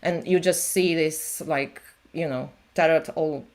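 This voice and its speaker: A woman who reads tarot, chatting on a live stream in an animated way with many fillers. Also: sits low, measured pace. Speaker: tarot reader and channel host. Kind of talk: casual conversation on a live stream.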